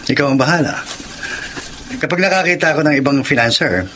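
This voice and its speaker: An actor playing a cocky, selfish gambler husband, vain and dismissive, in a Filipino radio drama. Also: male voice, a little high, fairly quick, pushed and bright, slight background noise. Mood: defiant. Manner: smug, dismissive.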